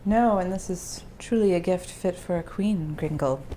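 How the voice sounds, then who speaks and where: Low-voiced woman playing a tabletop game. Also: normal speed, mellow, rough recording. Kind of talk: casual roleplay and table talk. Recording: clear background noise.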